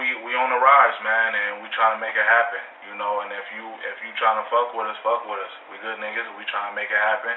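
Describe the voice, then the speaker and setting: An American rapper from Lansing, Michigan, giving a casual phone interview in a slangy, emphatic way full of conversational tags. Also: male voice, lowish voice. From Lansing, Michigan, United States. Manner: slangy, emphatic, peppered with "you know". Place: phone call. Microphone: telephone. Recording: tinny phone line, roomy, distant, faint noise.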